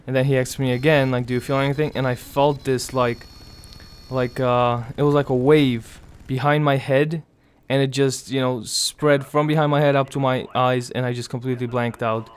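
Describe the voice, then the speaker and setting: A young man talks through a gameplay commentary in a weak, raspy voice, worn out and strained after surgery. He keeps it quiet and halting, pausing often, with a flat, even tone that stays smooth underneath the rasp. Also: mid-range voice. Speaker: gaming commentator.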